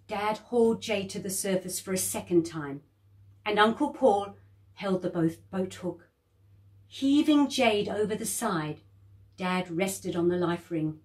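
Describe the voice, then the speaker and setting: A woman reading a children's story aloud, expressive and lively, lifting her voice high for the children's lines.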